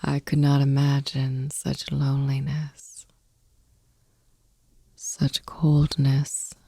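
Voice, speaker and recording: A woman reading poetry as a sleep ASMR podcast host in a soft, breathy whisper, slow and soothing, close to the microphone. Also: a bass voice, completely flat delivery, no room sound.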